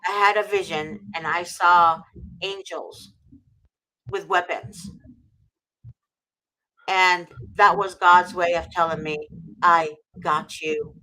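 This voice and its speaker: Host from Egypt, middle-aged woman, fervent and preachy, bright forceful tone. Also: on the low side, fairly even intonation, takes it slowly, pauses often, rough recording. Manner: fervent, preachy, repeats for emphasis. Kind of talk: impassioned faith talk.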